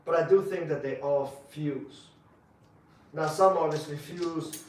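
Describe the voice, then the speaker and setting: Fast-talking man in an echoey room. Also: a little high. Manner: relaxed, joking, quick explanations. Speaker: bonsai demonstrator, co-host. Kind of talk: live-stream demo chat. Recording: echoey room, far from mic.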